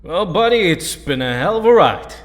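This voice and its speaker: A man playing a warm, encouraging young hero in an audio drama, talking casually like a good buddy. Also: high-pitched, sing-song, moderate pace. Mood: encouraging, fond. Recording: long hall reverb.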